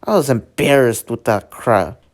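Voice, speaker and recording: A young man chatting through a movie trailer in a loose, meandering way. He leans on "like" constantly, restarts sentences and circles back to his points. His voice sits at an ordinary middle pitch for a man. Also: a little slow. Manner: rambling, heavy use of "like" fillers and restarts. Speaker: trailer reaction vlogger. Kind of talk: casual trailer commentary.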